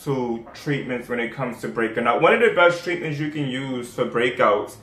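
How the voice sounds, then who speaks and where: A man's voice: mid-range voice, normal speed, fairly bright tone. A young man with a New York accent talks through a home skincare routine in a loose, rambling way, full of "um" and "like" as he restarts his sentences. He speaks close to the camera in a small room with bare walls, which gives his voice a noticeable echo. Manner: rambling, chatty, many fillers and restarts. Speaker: skincare vlogger. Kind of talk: casual how-to vlog.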